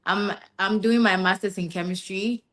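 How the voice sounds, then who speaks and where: A young adult woman from Ghana, a master's student answering interview questions in a relaxed, rambling way and often tagging on "yeah" and "basically.". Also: medium pitch, moderate pace. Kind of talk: casual interview chat.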